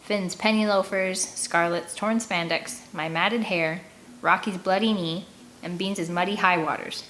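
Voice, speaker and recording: A woman narrating a first-person story in an animated, expressive read-aloud manner. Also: fairly low pitch, a little slow, rough recording. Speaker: audiobook reader. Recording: clear background ambient noise.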